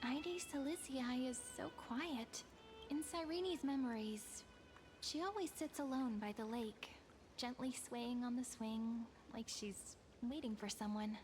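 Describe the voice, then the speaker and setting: A high-pitched, gentle young game heroine acted by a woman speaks over music. Her delivery is soft and measured, wistful and earnest with a playful edge. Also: close to an everyday voice. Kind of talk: video game story voice acting.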